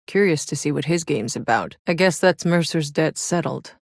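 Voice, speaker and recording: Casting: tough, wry woman soldier in a sci-fi game, deep register, gruff swagger, close dry studio sound. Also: lively intonation, normal speed, no echo. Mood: businesslike. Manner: gruff, sardonic, casual swagger. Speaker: video game voice actor. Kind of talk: video game voice lines.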